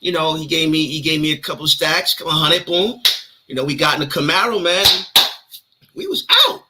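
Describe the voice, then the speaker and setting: A man's voice: fairly high pitch, a little fast, noisy background. A man in his thirties from New York with a New York accent, casually telling his life story in an interview with streetwise slang. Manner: streetwise slang, animated retelling.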